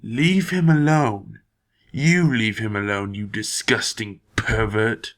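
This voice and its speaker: A man's voice: lowish voice, slow pace, a bit bright. A man playing a cruel, domineering stepfather in an audio drama, sneering and menacing as he talks. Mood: scornful, angry. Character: cruel, domineering, hard-hearted evil stepfather, a businessman.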